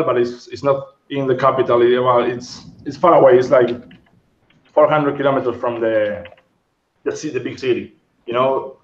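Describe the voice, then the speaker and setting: A man in his thirties from Argentina, Spanish his first language, chats loosely as a guest and hunts for English words. His voice comes in over a remote call, muffled and roomy. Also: medium pitch. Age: 30s. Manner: rambling, searching for English words. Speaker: guest sculptor. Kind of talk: casual interview chat. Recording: dull, roomy sound.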